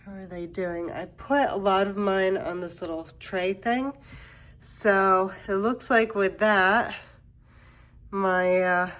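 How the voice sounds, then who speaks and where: A woman hosting a plant channel, congested from a cold, chatting casually as she walks through her home. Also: medium pitch. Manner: relaxed, chatty, walking through rooms with fillers. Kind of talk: casual home-tour vlog. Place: home, moving between rooms.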